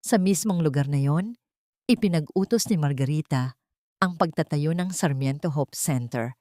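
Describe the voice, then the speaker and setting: Female audio drama narrator, lowish register, measured sentimental storytelling, dry recording. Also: mellow, no room sound.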